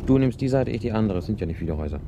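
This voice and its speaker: A man's voice: fairly low pitch, animated, rapid-fire, noisy background. An actor playing a concerned man urgently pressing his companion to act, in a radio crime drama. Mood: urgent, decisive. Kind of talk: 1980s German radio crime drama acting.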